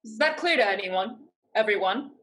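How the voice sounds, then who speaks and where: A woman's voice: medium pitch, lively intonation, moderate pace, a bit bright, low-quality recording. A woman playing a fierce, resolute young pirate in a staged play reading, firm and blunt. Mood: stern threat.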